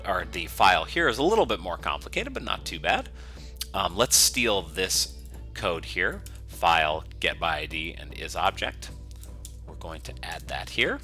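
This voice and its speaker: A man with a low-pitched, strikingly bright and forward voice leads a software tutorial. He explains each step conversationally while he works, in an informal screencast style. Faint music can be heard with the voice. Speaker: software developer giving a coding tutorial.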